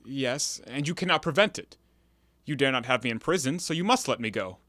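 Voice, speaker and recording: Man acting a sly, sharp-voiced cornered ex-convict. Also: mid-range voice, animated, fairly quick, no echo, studio quality. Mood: defiant. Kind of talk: stage-style audio play acting.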